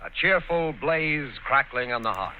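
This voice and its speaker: A male radio announcer giving a 1940s show introduction and ad read, with a crisp, formal delivery. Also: medium pitch, expressive, unhurried, narrow, tinny audio, background noise.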